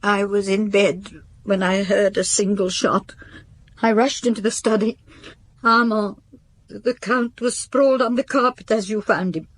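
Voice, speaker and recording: An actress playing a devout, guarded housekeeper in a radio drama, speaking formally and haltingly. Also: female voice, mid-range voice, fairly even intonation, natural voice, rough recording. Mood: distressed confession. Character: devout, guarded spinster housekeeper to a French count.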